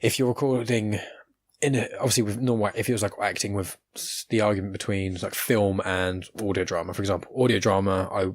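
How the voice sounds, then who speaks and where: A man's voice: lowish voice, fairly even intonation, a little fast. A man hosting a casual conversational interview, talking in a rambling way through half-finished questions. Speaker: podcast host interviewing guests.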